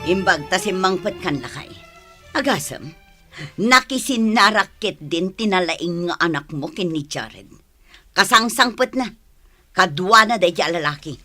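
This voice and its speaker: A woman's voice: lowish voice, expressive, measured pace, pushed and bright, soft background music, heavily acted. An actress playing a scolding, disapproving middle-aged mother in a radio drama. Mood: indignant.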